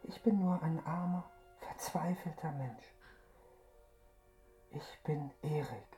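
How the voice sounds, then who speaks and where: A desperate, lovelorn masked recluse pleads in a slow, mellow half-whisper. He is soft and seductive with a faint menace. It is intimate radio drama with music behind. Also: on the high side. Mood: soothing, sorrowful.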